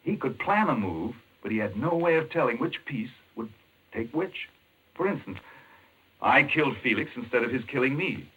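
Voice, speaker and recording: Intense, husky-voiced doctor, old radio. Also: male voice, mid-range voice, animated, moderate pace, sharp tone. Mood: fascinated, grave. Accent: American. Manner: urgent, emphatic, explanatory. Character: intense, obsessed male chess-playing doctor explaining a madman's scheme. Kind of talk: 1940s radio mystery acting. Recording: muffled 1940s radio recording.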